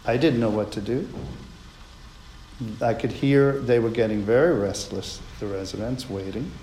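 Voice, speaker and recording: A man's voice: mid-range voice, a little mellow, roomy sound, a little hiss or hum, rough recording. A man earnestly telling a reflective personal story on a radio show.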